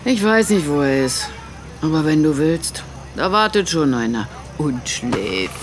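A woman playing a relaxed, teasing middle-aged mother at a campsite in a radio drama, amused and chatty. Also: low voice, unhurried. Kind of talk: naturalistic radio drama dialogue.

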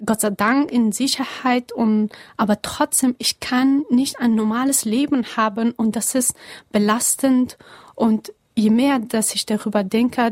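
A young woman from Afghanistan answering interview questions earnestly in halting, German, with many pauses and fillers. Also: a little high, a little mellow, no room sound.

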